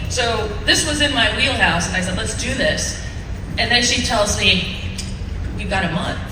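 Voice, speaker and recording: A woman educator and artist telling a story conversationally as a panelist. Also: mid-range voice. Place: large convention panel room. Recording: echoey noisy hall, far from mic.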